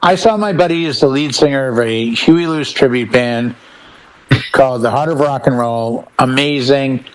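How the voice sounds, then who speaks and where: A man's voice: medium pitch, moderate pace, poor audio. A man talking enthusiastically and emphatically in a casual panel chat as one of the show's panelists. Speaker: panelist on a DJ talk show.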